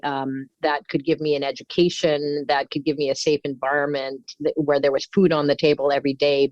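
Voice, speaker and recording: A woman who grew up in Singapore, talking as an interview guest in a thoughtful, unscripted way with frequent pauses and restarts. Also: low voice, a little mellow, no echo, muffled recording. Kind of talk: unscripted reflective talk.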